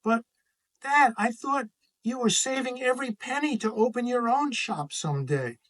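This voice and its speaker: A man's voice: very high-pitched, lively intonation, normal speed, fairly bright tone, voice pushed up, full character voice. A man voicing an earnest, protesting six-year-old boy for a picture book read-aloud. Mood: excited, earnest.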